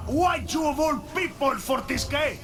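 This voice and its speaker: High-pitched blustering undead barbarian warrior. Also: male voice, animated, unhurried, exaggerated voice. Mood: indignant boasting. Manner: blustering, shouting, indignant. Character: boastful, bloodthirsty undead barbarian warrior hero. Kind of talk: video game cutscene acting. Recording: loud game music behind the voice.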